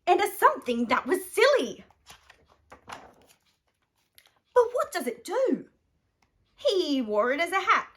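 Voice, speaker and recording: Aussie lady reading a bedtime story for kids, really expressive, high voice that swoops around. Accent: Australian. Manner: animated, dramatic pauses and emphasis. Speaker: storyteller reading a picture book.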